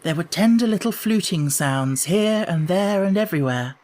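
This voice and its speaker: A man's voice: high voice, expressive, normal speed, darkish tone. A man narrating a children's novel as a scripted audiobook reading.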